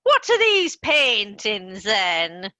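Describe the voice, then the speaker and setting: A woman's voice: medium pitch, huge pitch swings, pushed and bright, heavily acted. A woman playing an elderly, hard-of-hearing mother in a comedy radio play, querulous and muddled. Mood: confused. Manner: slow, querulous, mishearing.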